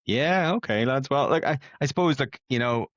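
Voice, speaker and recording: A man's voice: mid-range voice, animated, moderate pace, no echo. A man in his late thirties with a Northern Irish accent, chatting casually and ramblingly as a podcast guest. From Belfast, Northern Ireland. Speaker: podcast guest, amateur competitive runner. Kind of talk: casual conversational storytelling. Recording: dull lo-fi podcast audio.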